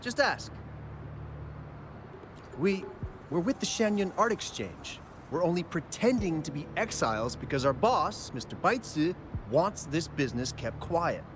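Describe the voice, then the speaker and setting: An actor playing a blustering, cowardly gang boss in a video game, brash at first and then quick to cave and wheedle. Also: male voice, on the high side, sing-song, noisy background. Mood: evasive.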